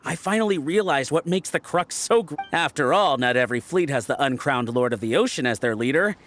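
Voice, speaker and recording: A man's voice: medium pitch, fairly bright tone, natural voice. A man voicing a friendly, proud ship's lookout in a video game, chatty and explanatory, with music behind him. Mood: sincere, admiring. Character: friendly, proud male ship's lookout teaching weather lore. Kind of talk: scripted video game dialogue.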